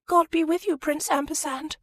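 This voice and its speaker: A woman voicing a gushing, tearful genteel lady in an audiobook, very high and quavering. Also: steady tone, moderate pace, a bit bright, no room sound, clean studio sound.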